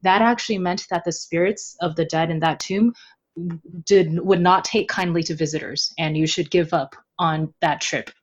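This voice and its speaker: Woman, slightly low voice, chatty, playful, casual podcast guest, dull lo-fi call sound. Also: moderate pace. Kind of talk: casual, animated interview chat.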